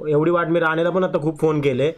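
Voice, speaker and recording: A man's voice: a little high, flat delivery, fast talker. A young man making a prank call in casual street slang, telling his story in an agitated, complaining way. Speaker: prank caller on a live stream.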